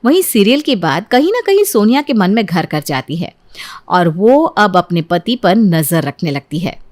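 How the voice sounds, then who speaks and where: A woman's voice: mid-range voice, very animated, normal speed, darkish tone. A woman reading a scripted family story as its narrator.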